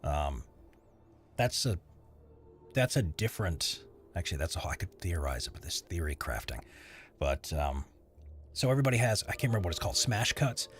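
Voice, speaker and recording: Deep-voiced man chatting on a stream. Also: very animated, normal speed, long pauses, slight background noise. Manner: rambling, chatty, answers chat while working. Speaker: streamer editing voiceover audio. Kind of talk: casual live-stream chat.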